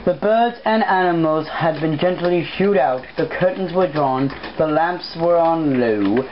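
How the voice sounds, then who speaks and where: Female amateur narrator, deep for a woman, casual and blunt, recorded muffled and noisy at home. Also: a little slow. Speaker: reader. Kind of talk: amateur fan fiction narration with casual asides.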